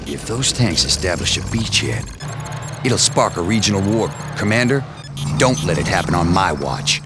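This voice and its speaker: A stern military commander, low voice over music. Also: male voice, animated, moderate pace. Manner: clipped, commanding orders. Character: stern male military commander, terse and authoritative. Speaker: military commander giving mission briefings. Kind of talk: game cutscene briefing. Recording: music bed under the briefing.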